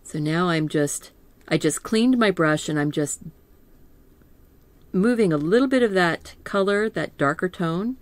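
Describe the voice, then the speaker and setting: A middle-aged woman teaching a live painting lesson in a calm, soothing voice, gently thinking aloud as she works. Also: on the low side, dry recording. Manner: slow, gentle, thinking aloud with small laughs. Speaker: art teacher.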